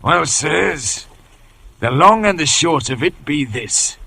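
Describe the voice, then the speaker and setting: Sly old-radio boss, high gravelly voice, talk, swoops all over. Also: male voice, animated, slow pace, sharp tone, a little hiss or hum, full character voice. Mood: bargaining, sly. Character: one-legged pirate leader, sly, wheedling, menacing. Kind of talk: 1950s radio drama acting.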